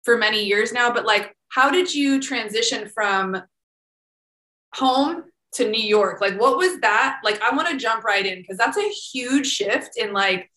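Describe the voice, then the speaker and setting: A woman hosting a casual podcast interview, her voice bright and forceful. She gushes with enthusiasm and keeps filling her talk with "like", and the sound comes back off an echoey room. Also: fairly high pitch, expressive, a little slow. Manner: gushing, enthusiastic, heavy use of "like" and "literally". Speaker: podcast host.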